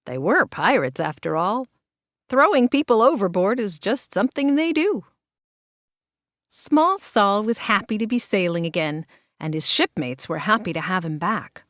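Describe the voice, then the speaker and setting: A woman reading a children's picture book aloud in an expressive, measured narration with deliberate dramatic pauses. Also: medium pitch, no room sound, clean studio sound. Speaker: children's storybook reader.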